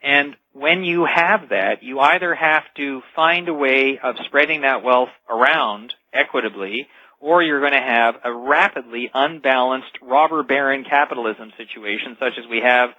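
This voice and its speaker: Dry lo-fi radio sound, man, medium pitch, guest expert, earnest, emphatic explanations. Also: moderate pace, dry recording. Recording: dull, dry lo-fi radio audio.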